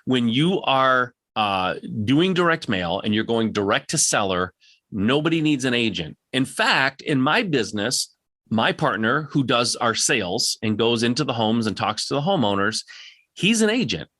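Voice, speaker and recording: A man's voice: mid-range voice, very animated, normal speed, pushed and bright, dry recording, clean studio sound. A man hosting a live Q&A, coaching in a direct, plain-spoken way and insistently repeating his points for emphasis. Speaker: podcast host answering audience questions.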